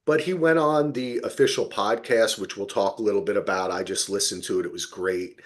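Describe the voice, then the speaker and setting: A man's voice: on the low side, a little fast. A man hosting a podcast in a casual, informal and enthusiastic chatting style. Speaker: podcast host.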